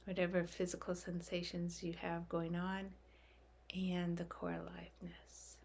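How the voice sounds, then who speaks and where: Slow, lower-pitched woman guiding meditation. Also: creaky, slight background noise. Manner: gentle, unhurried, calming, with long pauses. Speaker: guided meditation teacher. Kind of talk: guided meditation.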